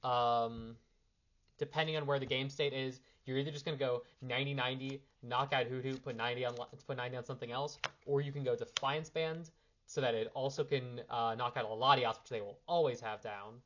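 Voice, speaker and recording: Young man, a little sick, impromptu strategy talk, self-correcting, mid pitch, lo-fi sound. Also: steady tone, a little fast, a bit bright. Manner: rambling, self-correcting, handling cards while talking. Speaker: card game player giving a strategy primer. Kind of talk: impromptu casual strategy explanation.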